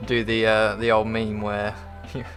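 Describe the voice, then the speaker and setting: A man's voice: fairly low pitch, monotone, unhurried, fairly bright tone, faint music behind. A man whose first language is Tagalog, co-hosting a casual podcast and joking and laughing with his friends. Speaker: podcast co-host. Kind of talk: casual chat about tabletop games.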